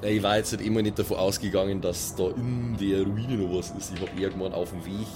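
A man's voice: sits low, steady tone, brisk. A man chatting casually and slangily as a player in a tabletop role-playing game. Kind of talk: casual improvised game chat. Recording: clear music behind the voice.

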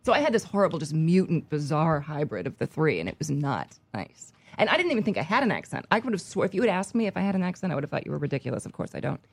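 Guest spot: low-pitched woman, animated storyteller, dry close sound. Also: racing, vocal fry, husky, no echo. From United States, army family. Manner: animated, laughs often. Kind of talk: casual chat.

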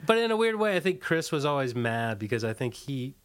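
A middle-aged American man with a mid-pitched voice talks as a guest in a casual interview. He rambles and hesitates, pausing mid-thought and leaning on "like" as he reminisces. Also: big pitch swings, smooth. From Washington, D.C., USA. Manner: halting, hesitant, rambling, frequent "like".

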